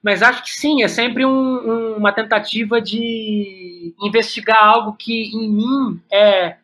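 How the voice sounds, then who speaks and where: A Brazilian man speaking reflectively as an interview guest, often halting and repeating words as he thinks aloud. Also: high voice, steady tone, bright, forceful tone. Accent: Brazilian Portuguese. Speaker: podcast guest, playwright and director.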